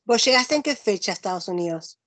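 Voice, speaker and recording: A woman's voice: mid-range voice, expressive, fast, fairly bright tone, no echo. A middle-aged woman with an Argentine accent, hosting an interview in a casual, rambling, chatty way. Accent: Argentine Spanish. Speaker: interviewer and host.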